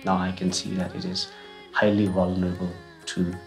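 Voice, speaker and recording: A man's voice: sits low, completely flat delivery, normal speed, creaky, soft background music. A man speaking plainly and a bit hesitantly in a documentary interview.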